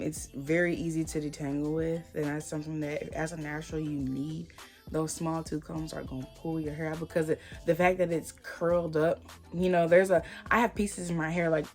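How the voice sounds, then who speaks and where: A woman with a slightly hoarse voice, chatting casually and rambling as she reviews hair products. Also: sits low, darkish tone. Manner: chatty, rambling, lots of fillers. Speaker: hair vlogger.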